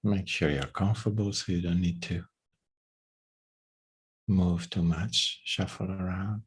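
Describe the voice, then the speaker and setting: A man leading a guided meditation in a gentle, unhurried way, with long pauses between phrases. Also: low-pitched, steady tone, husky.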